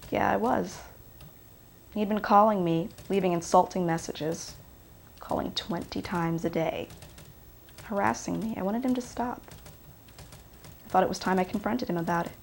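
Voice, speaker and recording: An actress plays a woman being questioned in a naturalistic crime film. She is defiant and sardonic, pushing back bluntly in a medium-pitched voice with plenty of fry. Light background noise sits under her. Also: normal speed, pauses often, natural voice. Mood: defensive. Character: defiant, bitter ex-girlfriend questioned by police.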